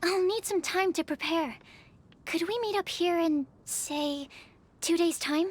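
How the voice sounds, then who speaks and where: A woman's voice: very high-pitched, a little slow. A woman playing a shy, studious young female alchemist in a video game, speaking in a hesitant, careful, analytical way. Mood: earnest, pensive. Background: music.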